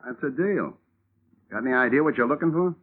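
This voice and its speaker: A gruff, streetwise private detective, played by an actor in a 1950s radio drama. He speaks bluntly in a mid-pitched voice, and it sounds thin and tinny, as if heard on an old radio. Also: expressive, brisk, slight background noise, lightly acted.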